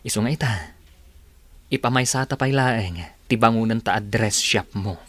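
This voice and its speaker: Husky, earnest Ilocano suitor. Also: male voice, lowish voice, normal speed, a bit bright, no room sound. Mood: tender, pleading. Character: earnest young suitor, soft and persuasive. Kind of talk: Ilocano radio drama acting.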